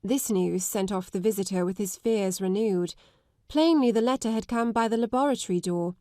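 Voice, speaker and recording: A woman narrates classic fiction in an articulate, measured way. Her voice sits at a medium pitch, and the recording is dry, with no room sound. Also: a little mellow, no room sound. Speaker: audiobook narrator. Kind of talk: scripted literary narration.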